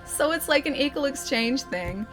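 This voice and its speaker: A woman's voice: sits high, animated, normal speed, over music. A young woman streaming a video game, chatting casually with her viewers, laughing often and restarting her sentences. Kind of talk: casual gameplay commentary, chatting with live chat.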